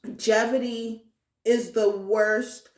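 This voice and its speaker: A woman's voice: fairly high pitch, steady tone, very slow, roomy sound. A woman telling a personal story in a casual interview, earnest and emphatic, often checking in with "right?". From Haitian. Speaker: guest, vegan caterer and chef.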